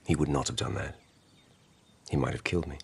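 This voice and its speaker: An actor playing a grave, dutiful older court policeman in a radio drama, husky and measured, speaking formally. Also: extremely low pitch, steady tone, full character voice. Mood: grave, calm. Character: grave, dutiful ageing Japanese court policeman, physically frail.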